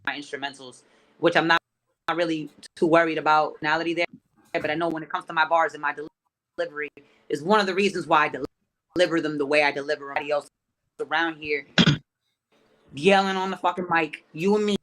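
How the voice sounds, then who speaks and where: A man's voice: a little high, fairly even intonation, quick pace, pauses often, bright, forceful tone. Slangy man in loose podcast chat. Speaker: podcast guest, rapper.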